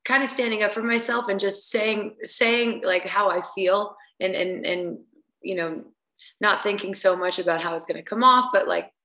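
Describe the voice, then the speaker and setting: A woman's voice: mid-range voice, steady tone, normal speed, pushed and bright, roomy sound. A woman singer guesting on a podcast, talking casually with hesitant restarts and fillers. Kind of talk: casual interview chat.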